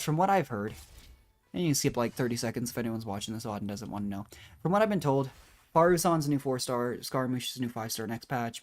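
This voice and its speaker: A woman's voice: very deep voice, darkish tone. A woman doing casual, rambling game stream commentary, musing aloud as she plays. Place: indoor livestream with game music behind.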